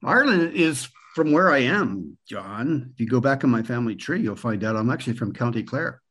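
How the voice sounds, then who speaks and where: A man in his sixties from Pennsylvania with an American accent, a guest on a podcast telling relaxed, rambling stories with the odd chuckle. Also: medium pitch, a little fast, breathy edge. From Pennsylvania, USA. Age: 60s. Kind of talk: relaxed conversational storytelling.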